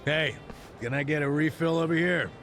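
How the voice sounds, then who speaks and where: An actor playing an easygoing, teasing drinking buddy in a video game cutscene. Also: male voice, fairly high pitch, moderate pace, gravelly, a bit bright, faint music behind. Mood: teasing, relaxed.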